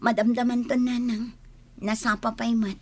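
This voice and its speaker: A woman's voice: a little high, little pitch movement, moderate pace, a little mellow, natural voice. A woman acting a meek, downcast young mother in an audio drama. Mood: meek, sorrowful. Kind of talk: quiet audio drama dialogue.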